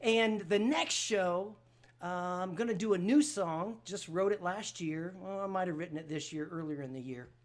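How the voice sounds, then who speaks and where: A man's voice: high voice, moderate pace. A man from Vermont talking casually and encouragingly to his listeners as a show host, in a folksy, hesitant way. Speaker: show host and singer-songwriter.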